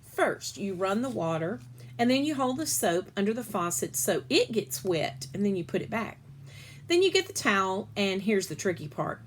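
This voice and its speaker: A woman's voice: medium pitch, sing-song, moderate pace, a little hiss or hum. A woman reading a children's story aloud expressively, stretching key words as a storyteller does.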